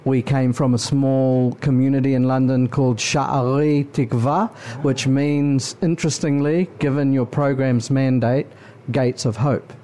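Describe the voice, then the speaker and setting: Mellow-voiced New man, earnest. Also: medium pitch, steady tone. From New Zealand. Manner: earnest, measured, thoughtful. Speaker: podcast guest. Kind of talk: conversational interview.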